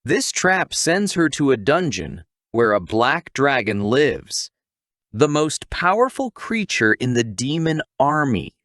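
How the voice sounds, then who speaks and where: A man's voice: medium pitch, big pitch swings, takes it slowly, a bit bright, no room sound, clean studio sound. A man narrating a scripted story recap, with casual asides to the listener.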